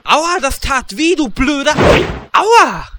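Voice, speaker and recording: A man's voice: very high-pitched, big pitch swings, slow, heavy background noise, heavily acted. A man playing a cocky, irritable and cowardly male gnome warlock in a comedic fantasy audio drama, sarcastic and taunting. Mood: whiny.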